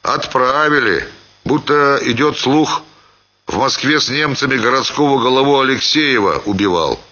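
A man's voice: mid-range voice, moderate pace, bright, forceful tone, highs cut off, voice pushed up. A man voicing an old peasant cart driver with a gossipy, folksy manner in an audiobook story.

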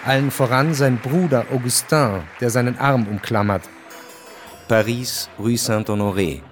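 A man narrating a literary radio drama from a script in a measured, precise way. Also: mid-range voice, over music, crowd noise.

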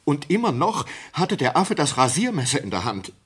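An actor playing a distraught sailor in a German radio play, confessing in a halting, intense manner with a husky voice. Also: male voice, fairly high pitch, very animated, heavily acted. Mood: frantic, agitated. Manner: halting, intense, swinging from hushed to agitated. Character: distraught sailor confessing a horrifying ape killing. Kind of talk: German radio play acting, dramatic monologue.

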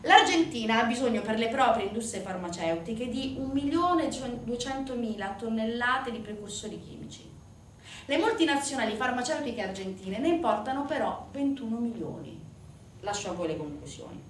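A woman's voice: fairly high pitch, animated, normal speed. A woman performing a theatrical narrative monologue to an audience, speaking in an emphatic, declamatory, rhetorical manner. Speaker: stage actress performing a monologue. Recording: roomy, distant mic, faint noise.

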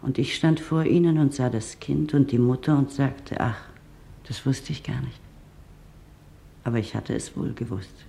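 Dark-toned, gentle female witness. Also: extremely low pitch, steady tone, moderate pace, vocal fry. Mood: reflective, wistful. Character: loyal female office helper recalling a hidden family. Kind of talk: documentary radio drama testimony.

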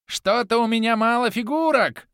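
Cackling mad god, very high and loud, manic, gloating, dry close sound. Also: male voice, moderate pace, clean tone, no echo. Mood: manic glee. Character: mad cackling male deity, gleeful and childish.

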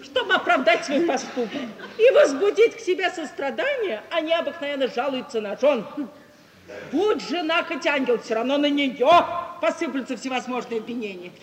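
A woman's voice: sits high, animated, normal speed. An actress playing a sly, teasing provincial society woman, arch and knowingly ironic, in a 1950s Russian radio stage comedy. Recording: echoey hall, 1950s radio recording.

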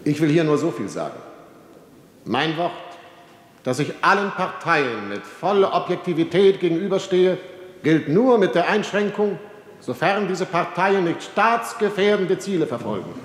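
An actor playing a stiff, self-important chancellor in a radio drama, giving formal, officious oratory. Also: male voice, a little high, animated, moderate pace, natural voice. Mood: stern, officious. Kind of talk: radio drama parliamentary speech. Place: large echoing chamber. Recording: reverberant hall, faint ambient noise.

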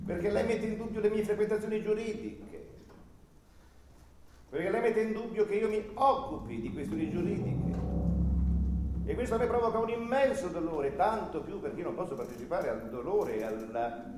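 An actor playing a pompous, easily wounded legal adviser in a theatrical radio drama, grandiloquent, repetitive and melodramatic. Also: male voice, high-pitched, moderate pace, natural voice. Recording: echoey noisy stage recording.

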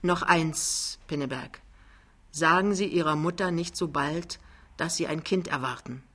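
A woman voicing a big, boisterous, fast-talking male wheeler-dealer in a dramatized book reading, chummy and colloquial. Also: low-pitched, a bit bright, husky, heavily acted. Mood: confiding, chummy.

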